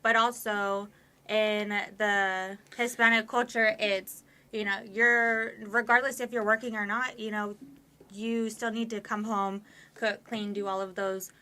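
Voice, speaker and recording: A woman's voice: mid-range voice, flat delivery, unhurried, bright, forceful tone. A woman in her twenties, a podcast guest, talking candidly and at length with many fillers. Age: 20s. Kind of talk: casual conversation.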